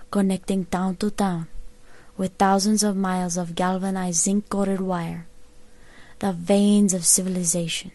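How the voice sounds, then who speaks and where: Slow, mellow-voiced wistful telegraph woman acted by a woman. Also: lowish voice, dry recording. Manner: slow, reflective, measured storytelling. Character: wistful, hopeful young woman telegraph operator of post-plague 1880s America. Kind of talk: scripted audio drama monologue.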